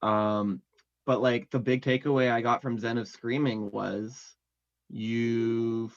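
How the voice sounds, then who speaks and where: A man's voice: mid-range voice, fairly even intonation, slow. A man chatting casually as an interview guest about his music, rambling and full of fillers. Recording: dull lo-fi audio.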